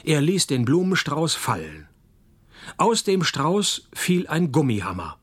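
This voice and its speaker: An unflappable private detective telling his case in a radio play. His husky voice sits a little high for a man. The delivery is wry, deadpan and sardonic. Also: slow pace, exaggerated voice. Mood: dry, deadpan. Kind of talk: scripted radio play narration and dialogue.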